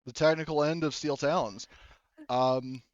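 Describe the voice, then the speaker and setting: A man's voice: a little high, steady tone, measured pace, no echo, lo-fi sound. A man running a tabletop roleplaying game, narrating casually and chatting with his players. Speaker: game master.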